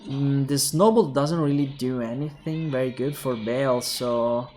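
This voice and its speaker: A young man with an Italian accent casting a mobile game tournament alone, calling plays quickly and thinking aloud to viewers. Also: medium pitch, a little mellow, soft background music. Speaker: esports caster. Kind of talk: solo esports play-by-play commentary.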